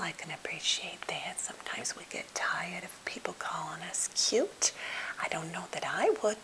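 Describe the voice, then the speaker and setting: A woman playing a timid, slightly odd captive who is eager to please, speaking in a halting, gentle, polite whisper for an ASMR role play. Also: mid-range voice, rough recording. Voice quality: breathy whisper with fry.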